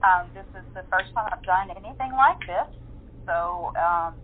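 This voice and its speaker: A woman guest in a casual interview, talking informally and pausing to find her words. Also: fairly low pitch, slightly rough. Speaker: amateur voice actor, interview guest. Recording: muffled, tinny phone line.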